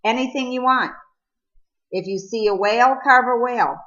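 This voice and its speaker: A woman's voice: on the high side, normal speed, voice pushed up, close to an everyday voice. Woman reading as an earnest teenage boy, slightly muffled. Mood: restrained, conciliatory. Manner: calm, conciliatory. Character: earnest, patient teenage boy making peace. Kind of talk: audiobook character dialogue.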